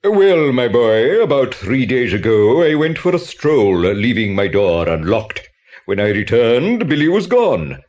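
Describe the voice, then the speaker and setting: A man's voice: mid-range voice, very animated, unhurried, a little mellow, dull audio. An older man performing in a 1980s audio play as a courteous, theatrical actor who speaks expansively. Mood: earnest, explaining. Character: theatrical older male actor who has lost his parrot.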